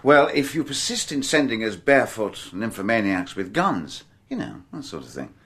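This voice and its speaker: Wry, low-voiced detective. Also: male voice, big pitch swings, normal speed, a bit bright, husky, exaggerated voice. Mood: dismissive, dry. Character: exasperated, dry-witted male police detective, middle-aged. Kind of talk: naturalistic radio drama acting.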